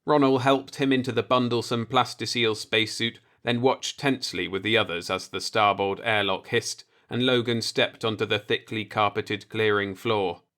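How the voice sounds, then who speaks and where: A man's voice: lowish voice, steady tone, normal speed, hardly any pauses, a bit bright. A man reading a scripted science fiction story aloud as an audiobook narrator.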